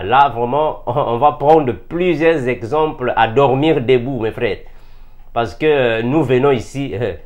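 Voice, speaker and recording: A man from Africa preaches to fellow believers, emphatic and repetitive, asking rhetorical questions. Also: medium pitch. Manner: emphatic, rhetorical questions, repeats key phrases. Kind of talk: impassioned sermon addressed to fellow believers.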